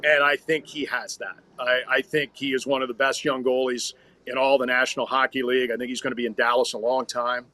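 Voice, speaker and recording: A man's voice: medium pitch, flat delivery, brisk, pushed and bright. An American man, a radio hockey announcer, talking and telling stories conversationally as a podcast guest. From Minnesota, United States.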